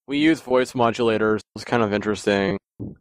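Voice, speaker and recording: A man's voice: fairly low pitch, moderate pace, no room sound. A man in casual podcast chat, telling long rambling stories with frequent laughs. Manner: rambling, animated storyteller who often laughs.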